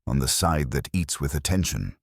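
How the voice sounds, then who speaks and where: A man's voice: a bass voice, animated, normal speed, husky, no room sound, studio quality, heavily acted. A man voicing an imperious, scornful gourmand detective in an audiobook, with formal, pompous pronouncements. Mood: grave, philosophical. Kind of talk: audiobook character dialogue.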